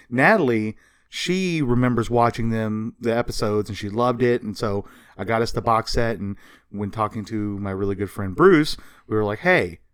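A man's voice: lowish voice, smooth, no echo, studio quality. A man in his thirties co-hosting a casual podcast, talking like an enthusiastic fan. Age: 30s. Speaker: podcast co-host.

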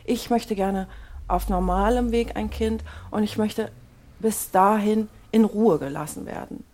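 A woman's voice: mid-range voice, unhurried, warm tone, natural voice. A woman in her forties playing a fed-up, defensive wife in a naturalistic audio drama. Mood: weary, pleading. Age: 40s. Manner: defensive, exasperated. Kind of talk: naturalistic improvised-sounding audio drama dialogue.

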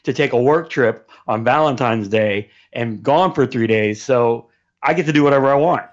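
A man's voice: medium pitch, moderate pace. A man chatting casually as a podcast guest over a remote call. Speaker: podcast guest, show presenter. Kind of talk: casual chat. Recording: dull, lo-fi call audio.

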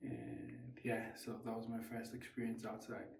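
A man's voice: mid-range voice, completely flat delivery, normal speed, vocal fry, darkish tone, distant mic, slight background noise. A young man from Ghana, a guest, giving brief plain answers in a casual interview. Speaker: guest teacher. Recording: echoey room, off-mic.

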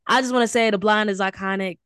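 A woman's voice: mid-range voice, fairly even intonation, quick pace, fairly bright tone, clean tone, no room sound, studio quality. A young Southern woman from Houston, co-hosting a casual podcast in a playful, teasing way. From Houston, Texas. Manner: playful, teasing, hypes up her co-host. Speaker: podcast co-host.

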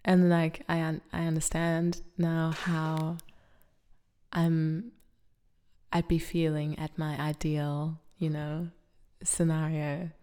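A woman's voice: low-pitched, little pitch movement, slow, warm tone, clear, resonant tone. A woman with an Australian accent, a podcast guest talking frankly and chattily. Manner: frank and chatty, laughs while telling stories. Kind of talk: casual conversation.

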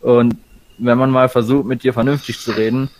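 Measured, critical man in voice chat. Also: mid-range voice, level tone, a little mellow, rough recording. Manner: measured, critical, stumbling restarts. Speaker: voice chat participant. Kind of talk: casual voice-chat talk.

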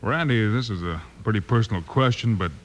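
An actor playing a calm, commanding Western hero in an old radio drama with audible noise. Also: male voice, lowish voice, a little fast, highs cut off, natural voice. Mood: calm, inquiring. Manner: calm, direct, authoritative. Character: steady, commanding cowboy lawman hero. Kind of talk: 1950s radio western acting. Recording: noisy old-time radio recording.

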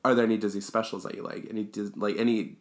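A man's voice: lowish voice, steady tone, fast. A young man in his twenties, co-hosting a casual podcast chat, rambling with lots of filler words. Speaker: podcast co-host.

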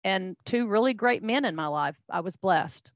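A woman's voice: medium pitch, animated, normal speed, no room sound, muffled recording. A middle-aged woman from Texas with a Texan accent, telling her life story as a podcast guest in a chatty, folksy way with many fillers and restarts. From Texas, USA. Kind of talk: casual interview storytelling.

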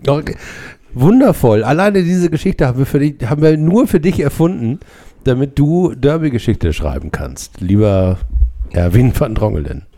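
A man's voice: mid-range voice, animated, normal speed, warm tone. A man chatting casually and jokingly as a podcast host. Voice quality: stutter, let out playfully at times. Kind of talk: casual jokey chat.

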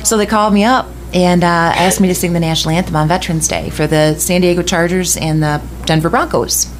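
A woman's voice: fairly low pitch, steady tone, fairly quick, a little hiss or hum. A middle-aged woman from West Michigan, a radio host telling a long story in casual chat, often laughing and restarting her sentences.

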